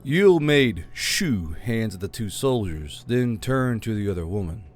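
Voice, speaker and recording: A man's voice: on the low side, expressive, measured pace, soft background music. A man reading a scripted fantasy audiobook as its narrator. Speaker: audiobook narrator, channel host.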